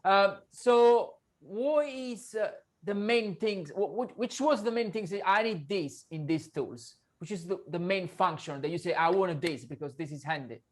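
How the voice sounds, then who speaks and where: A man with a strong Italian accent hosting a casual interview on a video call, animated and loose with his grammar. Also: high-pitched, unhurried, hardly any pauses. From Italy. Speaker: podcast host.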